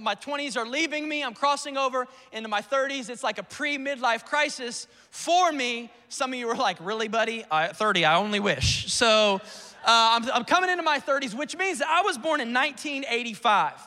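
A man in his late twenties, a pastor preaching a sermon from the pulpit in a church, energetic and playful with call-and-response to the congregation. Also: extremely high pitch, brisk, in a large hall. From South Carolina. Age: 20s. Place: church sanctuary.

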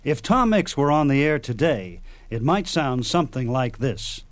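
A man's voice: mid-range voice, big pitch swings, normal speed, noisy background. A man presenting a scripted radio program as its host. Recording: loud music under the voice.

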